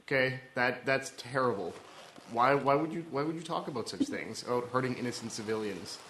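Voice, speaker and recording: A man's voice: mid-range voice, lively intonation, fairly quick, fairly bright tone, a little hiss or hum, lightly acted. A man playing an indignant, patriotic Cold War who argues in a stammering, self-righteous way. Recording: roomy, echoey sound.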